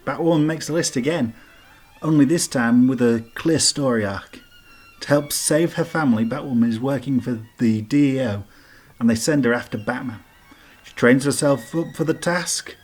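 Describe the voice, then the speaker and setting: A man's voice: medium pitch, breathy edge. A man giving informal scripted narration as the host of a countdown list video. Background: background music.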